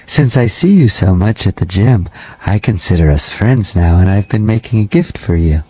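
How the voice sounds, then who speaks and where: A man playing a soft-spoken, creepily friendly night janitor who talks with polite, unsettling earnestness on a prank call. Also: lowish voice, expressive, normal speed, voice pushed down, low-quality recording. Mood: creepily earnest.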